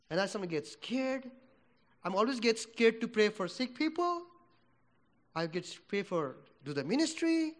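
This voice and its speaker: A man from Nepal with a Nepali accent, for whom English is not his first language, preaching a sermon in a church, swinging from loud declarations to hushed prayer. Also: high-pitched.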